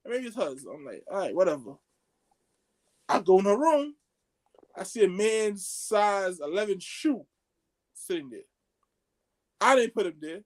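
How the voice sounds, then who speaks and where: Casting: male podcast co-host, very high voice, swooping intonation, excitable street-slang storyteller who acts out both sides of conversations. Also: a little slow, pauses often. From Haitian background. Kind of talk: animated comedic storytelling among friends.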